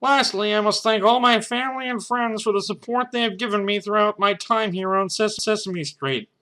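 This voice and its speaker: Man doing a high-voiced despairing male puppet. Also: moderate pace, bright, forceful tone. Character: despairing male puppet reading his farewell diary.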